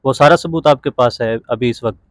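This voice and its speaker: A man's voice: medium pitch, a little fast, no echo, studio quality. A man hosting a video interview, speaking in a formal, dramatic presenter's manner. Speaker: interviewer and host. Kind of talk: video interview with spoken introduction.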